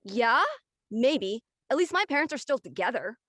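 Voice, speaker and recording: A woman plays a studious high school girl who is stressed and easily exasperated. Her voice is fairly high and closely recorded, serious and testy, as in an audio drama. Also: sing-song, moderate pace, a bit bright, no echo. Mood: angry, defensive. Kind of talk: online dramatic table read.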